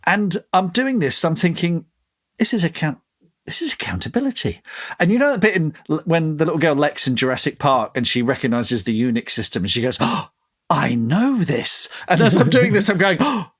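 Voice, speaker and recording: A middle-aged British man chatting casually as a podcast co-host, with a self-deprecating, jokey, animated storytelling manner. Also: on the high side, normal speed. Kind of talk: casual chat.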